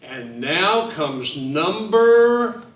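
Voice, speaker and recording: A man reading his picture book aloud to children, in a slow, sing-song storytime voice that speaks to them directly. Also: sits high, big pitch swings, fairly bright tone, reverberant, far from the mic.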